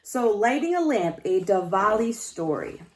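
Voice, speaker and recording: A woman's voice: medium pitch, big pitch swings, a little slow. A woman teacher reading a picture book aloud to young pupils, stopping to explain things in simple words and put questions straight to the children. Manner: simple explanatory phrasing, addresses children directly, emphasizes key words.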